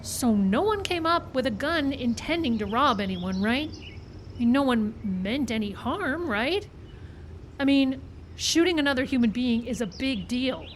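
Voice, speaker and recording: A woman's voice: high voice, animated, a little slow. An actress playing a level-headed but sly woman who reasons insistently, in live old-time radio style. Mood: anxious reasoning. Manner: insistent, reasoning, seeking agreement. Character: level-headed woman screenwriter pleading with gunmen, slyly ambitious. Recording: live outdoor show with background noise.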